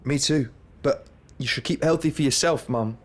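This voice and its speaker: A man's voice: mid-range voice, normal speed, natural voice. An actor playing a young man with schizophrenia, a nervous, stammering musician, in a radio drama. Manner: stammering, hesitant restarts.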